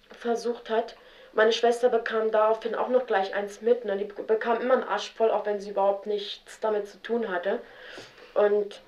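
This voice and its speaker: A young woman telling about her childhood in a halting, spontaneous way, often with fillers and restarts. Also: mid-range voice, a little mellow. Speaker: interviewee. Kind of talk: spontaneous personal account. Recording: roomy, dull 1970s radio tape.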